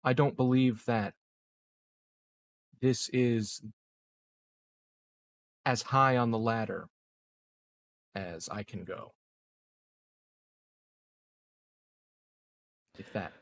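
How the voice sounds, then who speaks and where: A man playing a measured, calculating and discreet corporate executive in a roleplaying game, speaking formally with long deliberate pauses. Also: mid-range voice, steady tone, dry recording. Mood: knowing, reserved. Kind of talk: improvised in-character roleplay dialogue.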